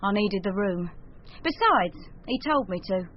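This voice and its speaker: An actress playing a blunt, flirty, unsentimental London wife in a radio drama, offhand and colloquial. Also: female voice, medium pitch, lively intonation, a little slow. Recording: muffled radio recording.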